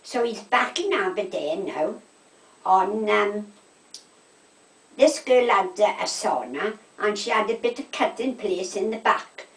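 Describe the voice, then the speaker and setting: An older woman with a South Wales Valleys accent, telling gossipy stories in casual, animated chat. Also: medium pitch, unhurried. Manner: animated anecdotes, quoting conversations, checking with "right?". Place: indoors at home. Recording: echoey room, distant microphone.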